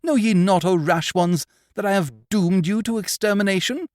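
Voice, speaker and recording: A man's voice: mid-range voice, expressive, slow pace, no room sound, clean studio sound. A man voicing a pompous, melodramatic young hero who declaims in a grand manner. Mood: grandiose.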